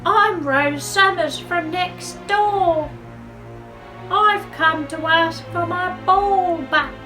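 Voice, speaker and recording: A woman's voice: very high-pitched, drawn-out words, recorded from a distance, music in the background, pitched above the performer's own voice, exaggerated voice, rough recording. A woman reading a storybook plays a plucky little girl with a polite, eager manner. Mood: polite, hopeful.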